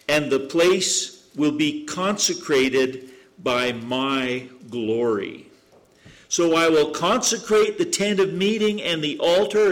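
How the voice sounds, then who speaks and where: A man preaching a sermon to a congregation, reading scripture, praying, and joking in asides with a loud voice. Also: on the high side. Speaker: guest preacher. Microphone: microphone through speakers. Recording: echoey room.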